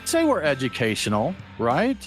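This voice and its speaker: A man's voice: mid-range voice, normal speed, music in the background. A man hosting a beer podcast in casual, jokey chat. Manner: chatty, jokey, animated. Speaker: podcast host.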